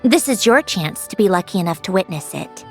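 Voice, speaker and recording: A woman playing a proud, prickly sentient machine girl in a video game, curt and impatient but secretly soft. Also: fairly low pitch, expressive, fairly quick, soft background music. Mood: resigned, dry. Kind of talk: scripted anime-style game acting.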